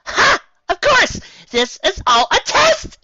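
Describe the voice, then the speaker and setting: A reader voicing an excitable, anxious young female unicorn sorceress who argues with herself in a shrill, frantic way, in a fan fiction reading. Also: slow, no echo, heavily acted. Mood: triumphant. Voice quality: shrill, frantic, self-arguing. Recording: dull, muffled home recording.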